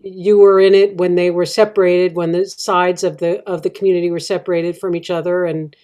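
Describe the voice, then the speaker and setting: A woman with a mellow, warm voice talks on a panel. She speaks haltingly, stumbling over fillers as she searches for her words. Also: on the low side, level tone. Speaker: community member and panelist. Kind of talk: casual panel discussion.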